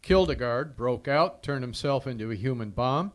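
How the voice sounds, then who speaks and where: An actor playing a brisk, wry private detective in a 1940s radio drama, clipped and businesslike. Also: male voice, mid-range voice, lively intonation, close to an everyday voice. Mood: wry, tense.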